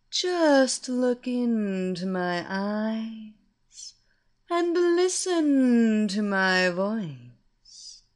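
A seductive American hypnotist with a very slow, breathy, hypnotic murmur , played by a woman. Her lines drag out word by word, and her pitch rises and falls widely as she coaxes her listeners into obeying. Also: a little mellow. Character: sultry adult female hypnotist, seductive and commanding.

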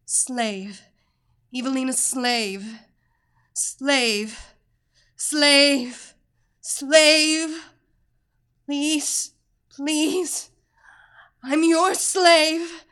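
A woman's voice: fairly even intonation, very fast, few pauses, a little mellow, lightly acted. A woman voicing a captive young female superheroine, breathless and crying out. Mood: frantic. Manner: breathless, crying out in rising pitch.

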